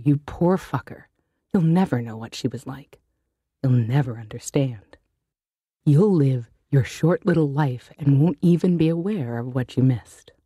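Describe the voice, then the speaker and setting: A woman reading an audiobook monologue as a bitter, heartbroken, blunt first-person narrator with a husky voice. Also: rumbling low, warm tone, no room sound, studio quality, heavily acted. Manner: blunt, confessional, brooding. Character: bitter, heartbroken, foul-mouthed narrator, self-loathing and defiant.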